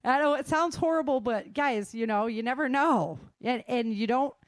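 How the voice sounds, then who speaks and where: A woman's voice: on the high side, moderate pace, dry recording. A woman giving casual, conversational advice in an emphatic, plain-spoken manner. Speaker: guest sharing self-defense advice.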